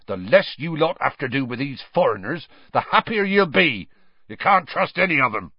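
A big, gruff gamekeeper played by a male reader from a storybook. He growls bluntly and colloquially, protective and hot-tempered, with very animated swings in pitch. The recording is a little dull and very dry. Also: moderate pace, no room sound, heavily acted. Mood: grim.

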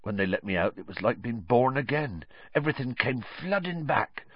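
A man's voice: mid-range voice, big pitch swings, moderate pace, breathy edge, no room sound, voice pushed up. A man doing a sobbing giant gamekeeper. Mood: gloomy. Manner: sobbing, broken rural dialect. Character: huge grieving male gamekeeper, tearful and gruff. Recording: dull lo-fi audio.

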